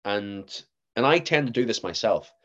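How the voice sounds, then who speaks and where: A man in his twenties with a Northern Irish accent, an actor chatting as a podcast guest, lively and acting out what others said to him. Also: on the low side, moderate pace, highs cut off. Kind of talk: casual interview chat.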